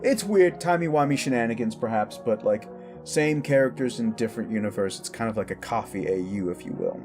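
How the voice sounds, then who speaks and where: A man's voice: medium pitch, a little fast, darkish tone, soft background music. A man hosting his own audio channel, chatting in a rambling, enthusiastic, casual outro. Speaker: audio creator and host.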